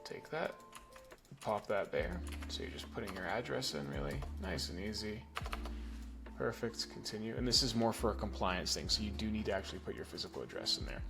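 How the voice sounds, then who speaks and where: A man raised in New Zealand with an American accent, casually explaining a software setup step by step and checking in with "okay?" and "right?". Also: fairly low pitch, animated, brisk, vocal fry, over music. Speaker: email marketing instructor. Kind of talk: screen-recorded software tutorial.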